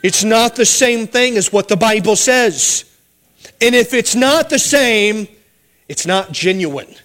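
High-voiced man preaching a sermon. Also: expressive, slow, a bit bright. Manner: earnest pleading, then humorous storytelling with asides. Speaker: pastor.

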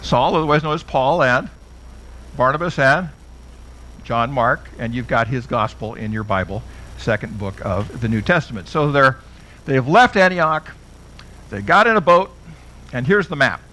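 A man's voice: mid-range voice, normal speed, pauses often, pushed and bright, rough recording. A middle-aged man teaching a Bible class in a conversational way, often putting questions to his listeners. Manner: conversational, asks the audience questions.

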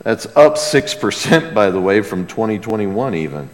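A man's voice: on the low side, animated, moderate pace. A man preaching a conversational sermon as a pastor, in a folksy way with rhetorical questions to his listeners. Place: large reverberant room, preaching to a congregation. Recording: long hall reverb.

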